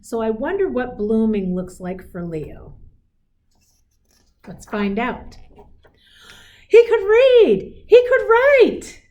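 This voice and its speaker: Slow-paced woman reading a picture book aloud. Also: on the high side, highs cut off. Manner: encouraging, explains pictures and asks listeners questions; expressive, emphatic storybook delivery. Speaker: school superintendent reading to children. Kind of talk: read-aloud of a picture book with chatty asides.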